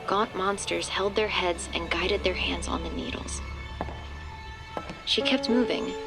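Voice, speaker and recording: A woman's voice: lowish voice, steady tone, measured pace, gravelly. A woman reading scripted narration for a horror audio drama. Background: background music.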